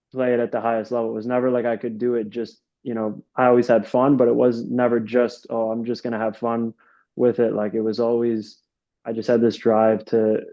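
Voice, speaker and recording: A man's voice: medium pitch, lo-fi sound. A young man from upstate New York, a soccer coach, answering interview questions in a casual, rambling way full of fillers and restarts. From Rochester, New York.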